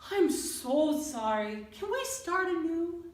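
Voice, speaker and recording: Lady doing a dramatic opera diva for a kids book, high voice, heartfelt, a bit far from the mic. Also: big pitch swings, takes it slowly, full character voice. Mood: apologetic. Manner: theatrical, heartfelt. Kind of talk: children's storybook reading. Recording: roomy, distant microphone.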